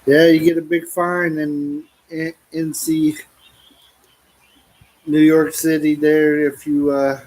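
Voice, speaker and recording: A middle-aged man from the American Midwest chatting casually with viewers from the couch at home, easygoing and quick to laugh. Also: on the high side, little pitch movement, darkish tone, low-quality recording. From United States. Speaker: vlogger. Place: living room at home.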